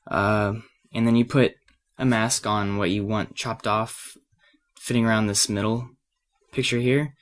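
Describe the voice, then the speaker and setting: A man with a cold and a slightly raspy voice gives a casual, unscripted software tutorial, hesitating and thinking aloud as he works. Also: lowish voice, steady tone, a little slow. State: has a cold. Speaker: tutorial presenter.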